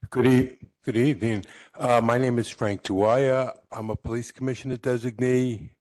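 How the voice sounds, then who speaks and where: A man introducing himself as a board member, relaxed and with wry asides. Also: medium pitch, moderate pace, no echo.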